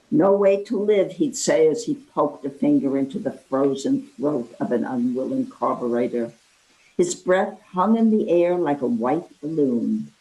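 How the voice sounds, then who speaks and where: Very slow woman reading poetry. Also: low-pitched, darkish tone, poor audio. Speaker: poetry reader. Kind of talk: literary poem reading.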